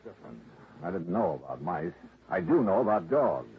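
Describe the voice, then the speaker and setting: Wisecracking investigator, tinny. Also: male voice, sits low, big pitch swings, husky, exaggerated voice. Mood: wry. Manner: wry, quick-witted. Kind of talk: 1950s radio drama acting. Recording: tinny, thin old radio recording.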